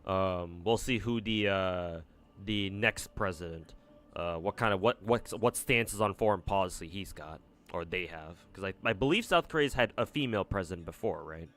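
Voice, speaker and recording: Offhand American man streaming, low voice. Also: moderate pace, a bit bright. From United States. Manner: rambling and offhand, with frequent swearing and restarts. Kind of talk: casual livestream chat while gaming.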